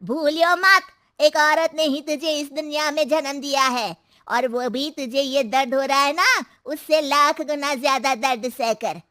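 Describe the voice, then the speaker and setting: High-pitched, feisty old mother-in-law, acted by a woman. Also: measured pace, bright, forceful tone, no room sound, studio quality. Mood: triumphant, scornful. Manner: brash, scolding, sarcastic. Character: bold, feisty, teasing elderly Indian mother-in-law. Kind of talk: children's story cartoon acting.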